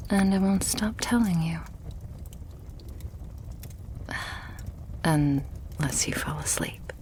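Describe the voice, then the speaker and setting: A woman playing a loving, possessive vampire girlfriend in an intimate ASMR roleplay, tender and hushed, with breathy sighs between phrases. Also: low voice, unhurried, a little hiss or hum. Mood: soothing, devoted.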